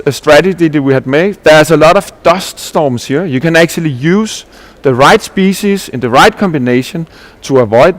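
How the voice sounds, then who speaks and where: Male presenter, fairly high voice, earnest explainer, conference talk. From Denmark. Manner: earnest, explanatory, with frequent restarts and rhetorical questions. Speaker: landscape architect presenting at a panel.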